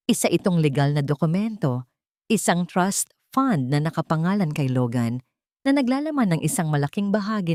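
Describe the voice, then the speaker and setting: A woman narrating a scripted story in an earnest, sentimental, measured way. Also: low-pitched, dark tone, no echo, clean studio sound.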